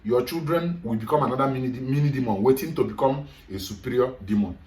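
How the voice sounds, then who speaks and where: A man's voice: mid-range voice, fast talker, darkish tone, recorded from a distance. Emphatic Nigerian man lecturing in an echoey room. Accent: Nigerian, Igbo. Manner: emphatic, lecturing, mixes English and Igbo. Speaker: speaker on culture. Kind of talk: lecture-style talk to a group.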